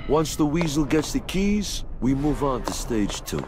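An actor voicing a hard-boiled old-time gangster convict in a game, clipped and sardonic. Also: male voice, medium pitch, sing-song, unhurried, over loud music. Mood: determined, sardonic.

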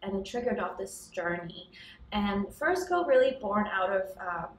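A woman's voice: medium pitch, sing-song, moderate pace. A young woman from Hong Kong, a startup founder, answering interview questions in a casual, thoughtful way with frequent ums. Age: young adult. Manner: thoughtful, many ums and restarts, occasional laughs. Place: office room by a large window with city towers outside. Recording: roomy echo, somewhat distant mic.

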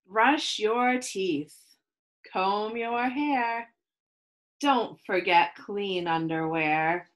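A woman in her thirties reading a children's picture book aloud in slow, sing-song rhymes, recorded on a webcam at home. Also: medium pitch, fairly bright tone. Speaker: children's read-aloud host. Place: home room with plants, indoors.

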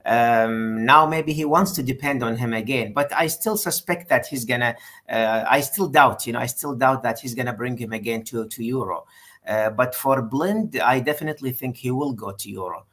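A middle-aged man on a video call giving careful football opinions, with a deliberate, slightly formal way of speaking and many pauses. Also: medium pitch, a bit bright. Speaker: co-host.

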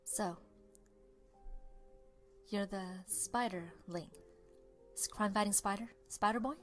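A woman's voice: medium pitch, slow pace, soft background music, close to an everyday voice. A woman voicing a cocky, sardonic middle-aged billionaire inventor in an amateur film dub, glib and teasing.

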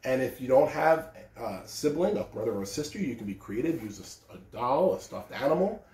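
A man's voice: mid-range voice, animated, a little fast, darkish tone. A man in his thirties, reading and talking expressively to children. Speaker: school read-aloud host. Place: living room, indoors. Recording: roomy living-room echo.

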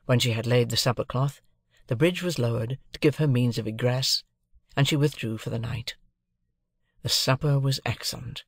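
A man's voice: medium pitch, gravelly, dry recording, clean studio sound. A man reading a classic novel as scripted audiobook narration.

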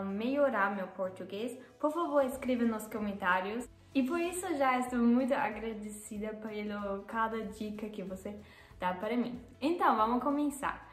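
A young woman from Germany speaking with a German accent, who often pauses and corrects herself and laughs at her own mistakes. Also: fairly high pitch, reverberant. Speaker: vlogger. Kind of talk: casual vlog talk to camera. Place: living room, seated on a sofa.

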